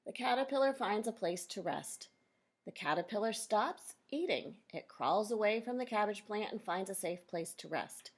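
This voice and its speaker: Female teacher for children's nonfiction read-aloud; lower-pitched than some but ordinary range, noticeable vocal fry, instructive asides to pupils. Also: lively intonation, moderate pace. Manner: instructive, addresses children directly with questions and explanations.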